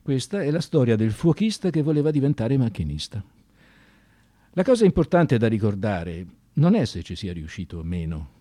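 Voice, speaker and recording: A man narrating a radio drama as a scripted story, intense and emphatic, with deliberate dramatic pauses. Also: medium pitch, sing-song, mellow, no echo.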